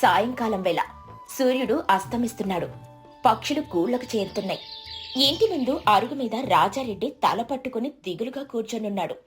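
A woman's voice: a little high, lively intonation, brisk, soft background music. A woman reading scripted narration as the narrator of a moral story.

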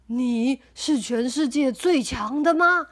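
A woman reading aloud as a fretful old male mouse village chief who stammers and exclaims loudly. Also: high-pitched, takes it slowly, exaggerated voice. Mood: pleading. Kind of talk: storybook read-aloud.

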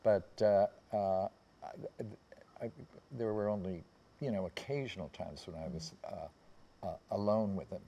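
An American man in his seventies reminiscing in anecdotes on a panel, haltingly, often trailing off and circling back. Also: fairly low pitch, vocal fry, mellow. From United States. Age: 70s. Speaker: panel guest recalling personal memories. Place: seated on a theatre stage.

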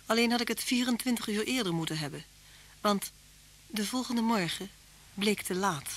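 An actress playing a thoughtful, earnest detective's wife in a vintage radio drama. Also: female voice, medium pitch, normal speed, a bit bright, lightly acted. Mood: narrating. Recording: slightly noisy old radio recording.

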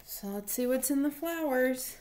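A woman's voice: sits high, animated, moderate pace, a little mellow. A young woman reading aloud for a children's storytime, recorded at home in a small room on a computer mic. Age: young adult.

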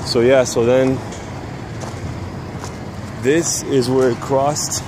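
A man's voice: mid-range voice, steady tone, very slow. A man vlogging as he walks city streets at sunset, rambling and restarting, recorded on a handheld camera mic. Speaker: vlogger exploring old rail sites. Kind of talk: casual walking commentary. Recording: outdoor traffic noise. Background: street traffic.

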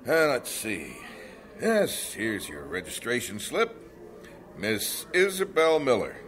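A rambling camp registrar, very lively pitch, hesitant. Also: male voice, takes it slowly, exaggerated voice. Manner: hesitant, rambling, full of uh's.

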